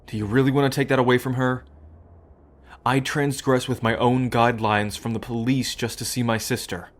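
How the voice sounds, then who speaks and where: A man's voice: mid-range voice, level tone, normal speed, close to an everyday voice. A man playing a young, apologetic brother estranged from the mob, pleading and hesitant, in an audio roleplay. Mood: contrite pleading.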